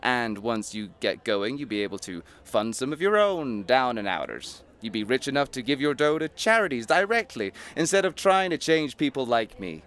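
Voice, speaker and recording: Man playing a glib, scheming businessman, animated pitch. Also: moderate pace, hardly any pauses. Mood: slick persuasion. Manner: mocking, slick, persuasive. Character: cynical, greedy, glib rich businessman, smug and scheming. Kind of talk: scripted audio play acting.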